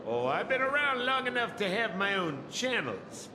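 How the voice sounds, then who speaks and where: High-pitched, theatrical old gossip. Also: male voice, very animated, moderate pace, noisy background. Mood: sly, storytelling. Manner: theatrical, teasing storyteller with dramatic exclamations. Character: excitable, theatrical old tavern gossip and wily informant. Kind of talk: animated video game acting. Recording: echoey, reverberant, over music.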